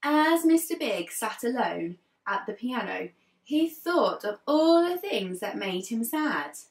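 Animated, high-voiced woman reading a storybook. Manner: dramatic, with deliberate pauses between phrases. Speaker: infant school teacher reading aloud. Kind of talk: expressive children's storybook reading. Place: living room sofa, indoors. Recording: roomy, distant mic, faint music behind.

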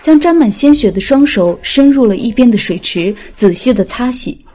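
A woman's voice: fairly high pitch, animated, moderate pace, mellow. A woman reading a dramatic scripted story as an audiobook narrator.

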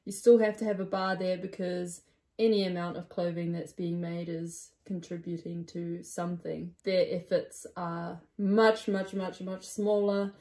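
A woman's voice: on the low side, flat delivery, unhurried, mellow. Brief: New woman, chatty vlog co-host, casual fillers, phone selfie outdoors. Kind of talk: casual chat. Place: foggy rural road outdoors. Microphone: phone at arm's length.

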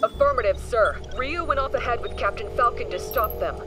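Woman voicing a high, resolute squad leader. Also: brisk, over music, lightly acted. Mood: urgent, firm. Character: resolute, dutiful female space squad leader. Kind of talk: anime dub acting.

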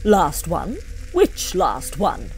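A pompous ruler of hell, holds forth in a comic radio play. The delivery is unhurried and theatrical, swinging widely in pitch with imperious exasperation. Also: female voice, wildly swooping pitch, faint music behind, full character voice, low-quality recording. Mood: grandiose, vexed. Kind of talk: comic audio drama acting.

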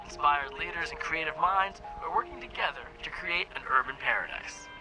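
An actor playing a polished promotional announcer selling a green city in a scripted documentary voice-over, music behind. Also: male voice, a little high, fairly quick, close to an everyday voice, rough recording.

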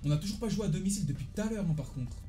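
Slangy young man, rather high voice. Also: animated, very fast, dark tone, echoey room, soft background music. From France. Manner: slangy, informal, talking to viewers. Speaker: streamer playing a football video game. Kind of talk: casual live gaming chat with slang. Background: football video game audio and commentary.

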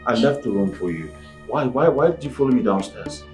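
A man's voice: medium pitch, animated, a little fast, warm tone, close to an everyday voice. A man playing a domineering, hot-tempered husband in a melodramatic film, speaking emphatically. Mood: indignant, angry. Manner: emphatic, scolding outbursts. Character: domineering, hot-tempered husband swinging between scorn and tenderness. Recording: echoey room. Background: music.

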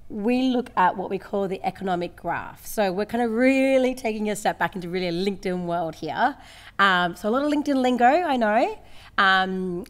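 A woman in her late thirties with a slightly croaky voice, giving a conversational, upbeat workshop talk from a lectern microphone in a large school hall. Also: lowish voice. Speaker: company representative giving a workshop. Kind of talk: presentation to parents and teachers.

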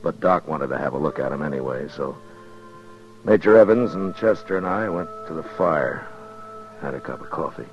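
An actor playing a terse, principled frontier marshal in a 1950s radio western. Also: male voice, very deep voice, lively intonation, moderate pace. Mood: grave narration. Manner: terse, weighty, matter-of-fact. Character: steady, principled frontier US marshal, also narrating. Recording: dull 1950s radio recording with music.

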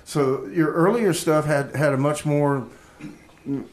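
A man's voice: on the high side, takes it slowly, low-quality recording. A man hosting a casual live radio interview, jokey and loose, often repeating himself. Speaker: radio show host.

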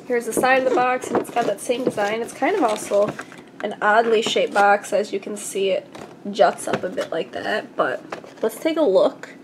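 A woman's voice: fairly high pitch, gravelly, background noise. A congested young woman chatting enthusiastically as she reviews a collectible doll in a casual vlog. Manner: chatty and enthusiastic, with frequent fillers. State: congested from a recent illness.

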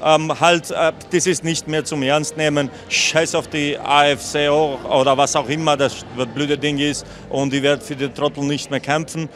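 Guy in his 30s, post-fight interview, rambly and blunt, kinda high voice, handheld mic. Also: flat delivery, moderate pace, few pauses, fairly bright tone, a little hiss or hum. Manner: rambling, blunt, restarts and mixes in English. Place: event venue backdrop, indoors.

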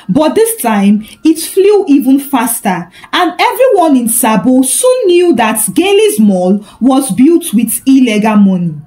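High-pitched, animated woman storyteller. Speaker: storyteller and channel host. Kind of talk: scripted folktale narration.

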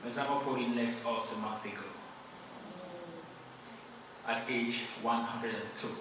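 A man from Cameroon, a native Yemba speaker, gives a formal acceptance speech, earnest and grateful, pausing often. His mid-pitched voice rings through a large hall, picked up from a distance with a lingering reverb and steady room noise. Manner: earnest, grateful, measured with pauses. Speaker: award recipient giving acceptance speech. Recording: echoey hall, distant mic, noisy.

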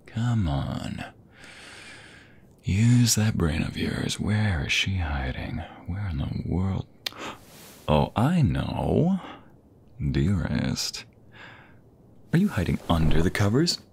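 A man playing a playful, doting young husband in an ASMR roleplay, with a breathy voice that often slips toward a whisper and a teasing, affectionate delivery. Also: deep voice, sing-song, a little mellow, exaggerated voice. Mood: puzzled, searching. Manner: teasing, affectionate, drawn-out delivery with pet names.

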